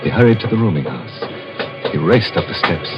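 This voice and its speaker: A macabre storyteller narrates vintage radio horror in a low, insinuating voice. He sounds sardonic and mock-sympathetic. Prominent music sits behind the muffled recording. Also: sing-song, normal speed. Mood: ominous, sardonic. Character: macabre, sardonic male storyteller, gleefully ghoulish. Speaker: narrator and host of a thriller series.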